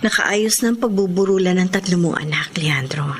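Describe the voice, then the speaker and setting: An actress with a Filipino accent playing a devoted, gentle and sad friend, speaking softly and carefully in a radio drama. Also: female voice, fairly low pitch, normal speed, slight background noise, close to an everyday voice. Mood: gentle concern.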